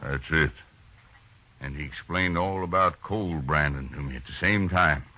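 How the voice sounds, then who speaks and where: A grim, unyielding western rancher with a very deep, rough husky voice. He is American and speaks with slow resolve. It sounds like a muffled old radio drama. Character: grim, determined frontier rancher set on revenge.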